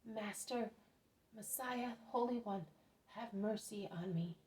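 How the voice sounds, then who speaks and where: A woman's voice: medium pitch, takes it slowly, a little mellow, close to an everyday voice. A woman reading aloud as a desperate male leper who begs for mercy. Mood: pleading. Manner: imploring.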